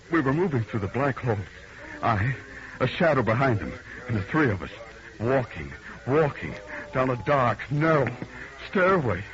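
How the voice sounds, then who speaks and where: Man acting a haunted, animated horror narrator. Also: medium pitch, natural voice. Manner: dramatic, haunted, halting. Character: horrified, powerless male witness recounting terror. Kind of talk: old-time radio horror narration. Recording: muffled old radio recording.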